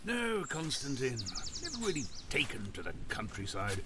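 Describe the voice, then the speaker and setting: An actor playing a fretful older uncle in an audio drama, complaining and animated, with a little stammer and faint recording noise. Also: male voice, medium pitch, moderate pace. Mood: grumbling, exasperated. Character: fretful, grumbling older uncle, weary of country life. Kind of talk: naturalistic audio drama acting.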